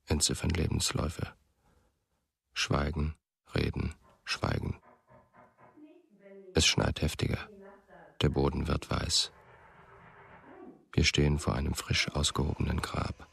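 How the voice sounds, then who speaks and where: An actor playing a brooding, haunted writer in a radio drama, gravelly and halting, speaking an introspective monologue. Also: male voice, rumbling low, completely flat delivery, a bit bright, full character voice. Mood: haunted, somber. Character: brooding male writer haunted by memories and longing.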